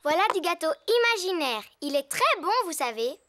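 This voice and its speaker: A woman's voice: very high-pitched, very animated, moderate pace, a bit bright, slight background noise, heavily acted. A woman voicing a cheerful, bossy little girl pig in a French cartoon dub for young children. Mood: cheerful, chatty. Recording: music behind.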